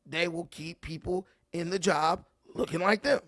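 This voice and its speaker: A man's voice: high-pitched, normal speed, a bit bright, no room sound, clean studio sound. A man hosting casual reaction commentary, ranting and repeating words as he builds his point. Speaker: commentary host.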